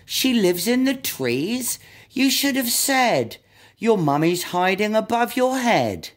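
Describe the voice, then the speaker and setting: A woman's voice: a little high, sing-song, takes it slowly, voice pushed up, heavily acted. A woman reading a storybook aloud as a helpful, confident but muddled butterfly guide.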